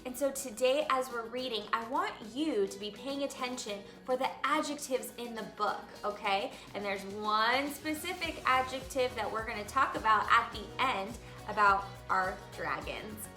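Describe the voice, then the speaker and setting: A woman's voice: fairly high pitch, moderate pace, hardly any pauses, bright, forceful tone, faint music behind. A woman hosting a read-aloud lesson for young children, speaking to them directly in simple words, as a teacher reading a picture book. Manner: addresses children directly with simple explanations and questions.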